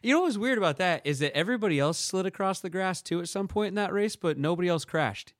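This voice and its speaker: A man's voice: sits high, lively intonation, fast talker, no echo, studio quality. Casual laid-back young man chatting. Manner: rambling, laughs often, does silly voices. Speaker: podcast co-host. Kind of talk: casual chat about motor racing.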